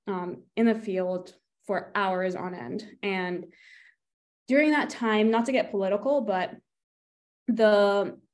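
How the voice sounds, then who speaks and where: A young woman who grew up in Egypt, a medical student, telling her path in a measured, earnest way. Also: mid-range voice. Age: young adult. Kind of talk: interview answers.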